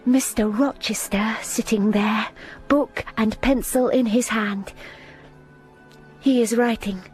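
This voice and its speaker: An earnest, restrained young governess, who also narrates the story in a radio drama. Her measured, unhurried voice sits over faint music. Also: on the high side, darkish tone. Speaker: narrator and heroine. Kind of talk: radio drama acting and first-person narration.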